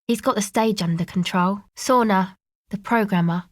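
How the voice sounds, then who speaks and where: A cool, guarded young woman from a video game, played by an actress, talks with casual, slangy understatement. Her voice sits at an average female pitch and is laid-back and dry in delivery. The recording is close and free of room sound. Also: moderate pace, no echo, close to an everyday voice. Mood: dry, relaxed. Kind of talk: video game dialogue acting.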